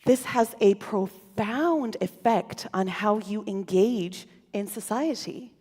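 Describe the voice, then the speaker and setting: A woman speaker, a native Russian speaker, giving a prepared stage talk in a playful, expressive manner with rhetorical questions. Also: medium pitch, slow pace, slightly rough. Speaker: conference speaker. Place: lecture hall stage. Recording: reverberant hall with faint music.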